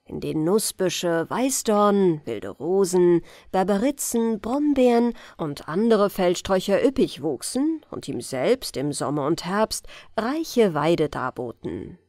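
A woman with a German accent reading a fairy tale aloud in a calm, gentle bedtime style, in a lilting storyteller manner. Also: on the low side, dry recording, clean studio sound. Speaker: audiobook reader.

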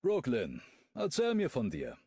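Guy putting on a pushy dad in an audiobook, pitched a bit higher than his own, firing questions. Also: wildly swooping pitch, unhurried, mellow, husky, dry recording, studio quality, exaggerated voice. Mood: demanding. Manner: insistent, probing. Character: blunt, demanding older father.

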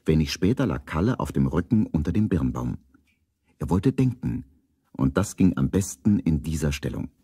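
A storybook narrator for a children's detective radio play. The voice is low and mellow with a slightly husky grain and a lively melody. It sounds close and dry. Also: male voice, moderate pace, dry recording, full character voice. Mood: measured storytelling.

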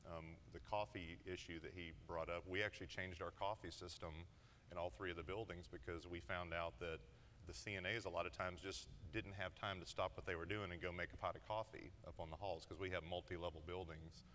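A man's voice: low-pitched, fairly even intonation, brisk, a bit bright, long reverb tail, a little hiss or hum. A man in his thirties speaking as a conference panelist, conversational and plain-spoken, on a lapel mic. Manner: conversational, explains in plain terms. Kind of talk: panel discussion. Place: large conference hall stage.

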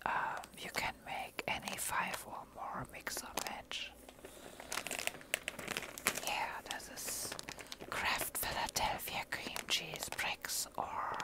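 A person with a German accent doing a breathy whispered ASMR show and tell, halting and rambling as they go. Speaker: ASMR creator.

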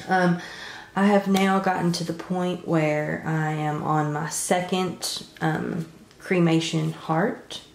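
A congested woman in her thirties with a low, gravelly voice talks through her craft pieces in a loose, rambling way, stopping often on "um". She sounds stuffed up from a cold. The room is echoey, with a faint hiss underneath. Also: slow. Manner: relaxed, rambling, many "um"s. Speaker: resin craft vlogger. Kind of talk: casual show-and-tell narration. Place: home craft table.

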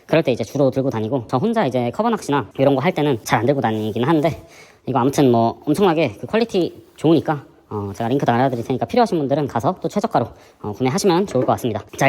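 A man with a hoarse voice from a sore throat, hosting a fishing channel and chatting through his gear in a casual, explanatory way. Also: mid-range voice, darkish tone. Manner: chatty, explanatory, many fillers. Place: indoor gym.

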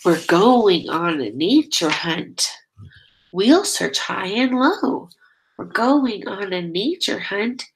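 Slow, expressive woman reading to children. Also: medium pitch, slight background noise, low-quality recording. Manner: expressive, drawn-out key words, prompting children with questions. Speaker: teacher reading a picture book aloud. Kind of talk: children's read-aloud.

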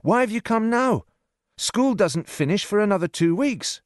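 A man's voice: fairly high pitch, lively intonation, slow pace, a bit bright, no room sound, studio quality, voice pushed up. A man voicing an anxious twelve-year-old schoolboy in storybook dialogue, earnest and questioning. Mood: surprised, urgent. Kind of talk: acted audiobook dialogue.

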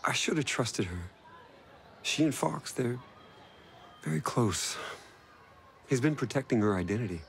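Gravelly, animated tech genius. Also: male voice, mid-range voice, measured pace, pauses often. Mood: worried, regretful. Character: worried blond tech genius, male civilian. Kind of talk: video game cutscene acting. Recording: clear ambient background noise.

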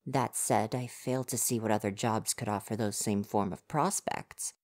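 A woman's voice: a bass voice, steady tone, moderate pace, a little mellow. A woman voicing a young male would-be ninja in an ASMR roleplay, defensive and flustered but earnest underneath. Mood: wistful.

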